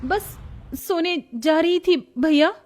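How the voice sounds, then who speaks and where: A woman playing an innocent, timid young woman with a girlish manner in an animated story. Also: very high-pitched, slow pace, soft background music. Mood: frightened.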